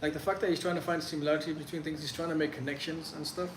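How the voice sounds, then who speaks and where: Young man, first language Pashto, easygoing live-stream host; rambling, filler-heavy delivery; echoey room with steady background noise. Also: on the high side, racing. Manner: loose and chatty, lots of "like" and restarts. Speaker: livestream host answering chat questions. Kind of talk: casual live Q&A. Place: in Medellín, Colombia.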